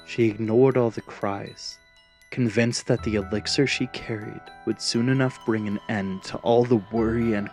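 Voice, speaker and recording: A man narrating a scripted fantasy story in a dramatic storytelling style. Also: on the low side.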